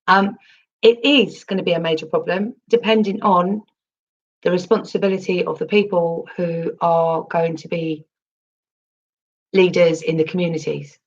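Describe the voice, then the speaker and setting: Female livestream host, low husky voice, chatty and rambling, dull lo-fi mic. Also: fairly even intonation, normal speed. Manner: chatty, rambling, with frequent ums and restarts. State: recovering from losing her voice. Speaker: crypto livestream host reading chat. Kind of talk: casual livestream chat.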